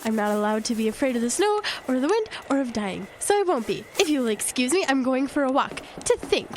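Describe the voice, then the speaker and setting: Anxious young fiancée, high-pitched voice, defiant, doubting, emphatic audio drama delivery, faint background noise. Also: female voice, big pitch swings, brisk. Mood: sarcastic, defiant. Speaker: actor. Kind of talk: audio drama acting with inner-monologue narration.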